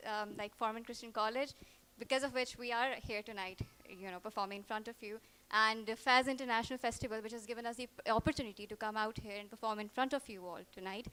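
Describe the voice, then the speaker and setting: A woman's voice: on the high side, a little fast, fairly bright tone, clear, resonant tone. A woman chattily introducing a live show as its presenter.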